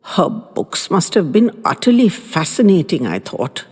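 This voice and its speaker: Woman, low voice, husky, lively melodic reading, audio fiction narration. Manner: unhurried, expressive storytelling. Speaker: storyteller. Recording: long reverb, faint music underneath.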